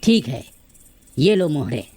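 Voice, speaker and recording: Man as a mellow-voiced greedy minister father. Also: fairly high pitch, sing-song, measured pace. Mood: greedy, coaxing. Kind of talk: cartoon fairy tale dialogue.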